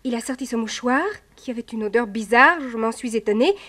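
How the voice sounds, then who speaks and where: An actress playing an earnest, emotional young heroine in an old French radio serial. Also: female voice, a little high, fairly quick, fairly bright tone. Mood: troubled, earnest. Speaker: actor.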